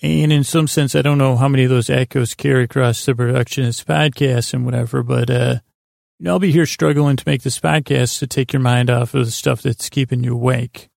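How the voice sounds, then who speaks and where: Creaky, low-key rambling man. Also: fairly even intonation, brisk, dry recording, studio quality. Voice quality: creaky, gravelly. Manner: gentle, friendly, goofy, hesitant and self-interrupting. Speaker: host of a bedtime sleep podcast. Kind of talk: rambling, meandering bedtime monologue.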